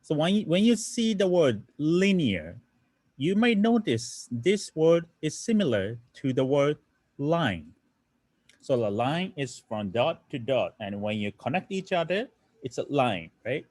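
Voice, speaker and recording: A man's voice: on the high side, very animated, measured pace, darkish tone, no room sound. A young man from Japan, a native Japanese speaker with a Japanese accent, explaining programming in an online lesson, often checking in with "right?" and fillers. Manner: explanatory, many "right?" tag questions and fillers. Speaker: programming instructor.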